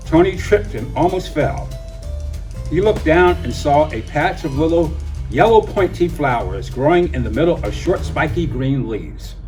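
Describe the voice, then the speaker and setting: Male storybook reader, higher-pitched and animated, expressive children's read-aloud. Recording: distant mic, music behind.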